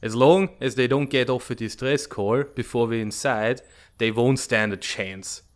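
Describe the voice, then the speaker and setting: A man's voice: medium pitch, unhurried, lightly acted. Man playing a blunt, hard-headed military strategist. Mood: decisive. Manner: blunt, matter-of-fact. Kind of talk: dramatic comic fandub acting.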